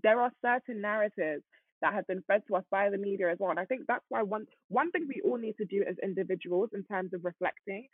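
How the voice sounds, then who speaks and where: A young woman co-hosting a podcast, talking in an emphatic, animated casual way. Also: mid-range voice, fast talker, husky, no echo. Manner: emphatic, animated, talks over others. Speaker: podcast co-host. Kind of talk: casual chat. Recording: dry, tinny phone-like sound.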